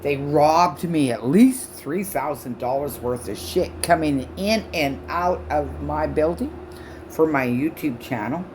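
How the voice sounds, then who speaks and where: A woman in her sixties from Canada ranting loudly and profanely to camera on her own channel, her speech halting from false teeth. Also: low-pitched, slight background noise. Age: 60s. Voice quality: loud voice. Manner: shouting, profane, accusatory. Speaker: vlogger. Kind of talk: angry rant to camera.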